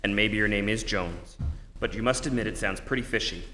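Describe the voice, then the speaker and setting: Male lead: proud, sceptical rich hero for old radio drama. Lowish, forceful voice, hall reverb. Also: brisk, a little hiss or hum. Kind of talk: 1940s radio acting. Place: stage of a community theatre.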